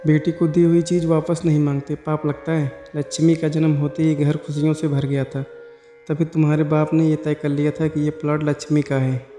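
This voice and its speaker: A man's voice: on the high side, flat delivery, moderate pace, dark tone, close to an everyday voice. A man voicing a firm, reproachful elderly mother defending her daughter in a moral story. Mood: firm protest. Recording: echoey hall-like reverb over music.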